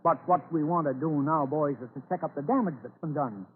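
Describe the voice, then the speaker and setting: Resolute rural family man played by a male actor, high-pitched, plain-spoken and rousing, on narrow-band vintage radio. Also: a little fast, over a noisy crowd, natural voice. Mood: rousing, earnest. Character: determined farmer rallying his neighbours, earnest family man. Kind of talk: 1940s radio acting. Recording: scratchy old radio broadcast, narrow band, hissy.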